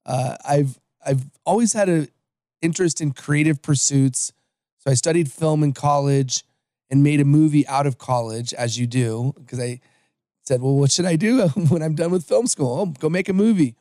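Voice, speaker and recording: A man's voice: a little high, moderate pace, mellow, no room sound. Upbeat storytelling American man, dry sound. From Los Angeles, USA. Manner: upbeat, storytelling, frequent restarts. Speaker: podcast guest. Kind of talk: conversational interview.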